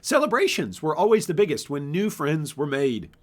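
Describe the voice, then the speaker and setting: Higher-voiced man reading and presenting a storybook. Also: big pitch swings, moderate pace. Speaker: author and channel host. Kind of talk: storybook read-aloud and informal book presentation.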